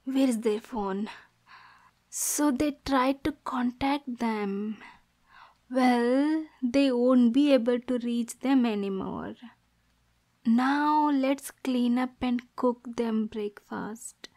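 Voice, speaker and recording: Woman playing young yandere girlfriend, high voice, slow delivery, possessive, manipulative, cooing menace, ASMR roleplay. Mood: quietly pleased. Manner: cooing pet names, switching between soft menace and cursing. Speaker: ASMR voice actor.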